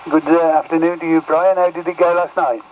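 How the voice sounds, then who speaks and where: A man's voice: on the high side, flat delivery, fairly quick, a loud crowd. A man, a radio operator, chatting casually over a CB radio transmission. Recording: muffled, very noisy CB radio.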